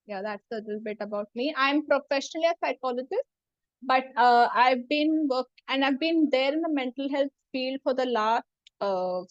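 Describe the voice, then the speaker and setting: A woman's voice: high-pitched, dry recording, muffled recording. A woman in her thirties from India, a psychologist giving a hesitant self-introduction full of fillers and restarts. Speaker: psychologist presenting about herself. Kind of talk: casual self-introduction talk.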